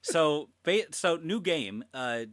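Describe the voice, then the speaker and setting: A man's voice: on the high side, lively intonation, takes it slowly, fairly bright tone, dry recording, clean studio sound. A man hosting a livestream in casual chat, rambling and self-deprecating, with some swearing. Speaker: livestream host.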